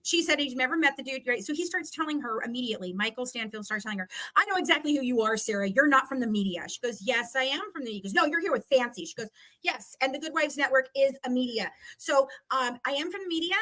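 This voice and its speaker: A woman with a fairly high, sharply bright voice recounts events in an animated, casual way. She is emphatic and salty, slipping into re-enacted dialogue as she goes. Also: quick pace, rough recording. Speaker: guest recounting a legal dispute.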